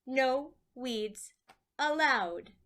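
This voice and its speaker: A woman voicing a brusque, officious female contest judge in a picture book, loud, curt and emphatic. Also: high voice, expressive, extremely slow, exaggerated voice. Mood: triumphant.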